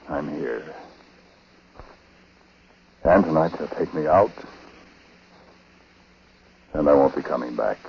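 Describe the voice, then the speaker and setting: A man playing a haunted, condemned husband telling his story haltingly and dramatically in a 1940s radio drama. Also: low voice. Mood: bleak, anguished. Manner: hushed, halting, dramatic first-person telling. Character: haunted condemned husband recounting his wife's uncanny death. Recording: noisy, muffled 1940s radio broadcast.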